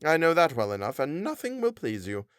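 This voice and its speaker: A man's voice: wildly swooping pitch, fairly quick, fairly bright tone, clear, resonant tone, no echo, clean studio sound. A man reading a novel aloud, voicing a nagging, pious middle-aged wife with a nasal twang and a scolding manner. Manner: shrill, scolding, self-righteous. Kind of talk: audiobook character voice.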